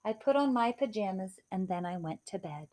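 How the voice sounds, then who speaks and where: A woman's voice: medium pitch, animated, normal speed, mellow. A woman reading a rhyming children's story aloud in a theatrical, emphatic way. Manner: theatrical, emphatic delivery of rhymes. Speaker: storybook reader.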